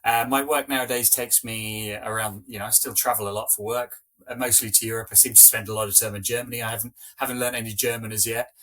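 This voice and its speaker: Bright-voiced man, relaxed podcast guest. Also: lowish voice, quick pace, hardly any pauses. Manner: relaxed, chatty, frequent fillers. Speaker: podcast guest, business owner. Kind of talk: casual interview chat.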